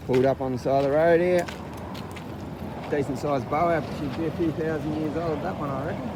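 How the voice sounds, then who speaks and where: Croaky Australian man, noisy outdoor recording. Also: a little high, brisk. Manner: laid-back, slangy. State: getting over a flu. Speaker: outdoor adventure vlogger. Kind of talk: casual chat to camera. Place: outdoors and in a vehicle on the road. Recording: noisy outdoor and vehicle background.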